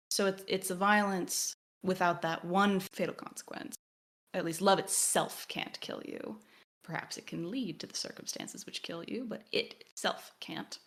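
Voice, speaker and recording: A woman co-hosting an analytical conversation, phrasing her points in a deliberate, measured, essay-like way. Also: on the low side. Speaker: podcast co-host.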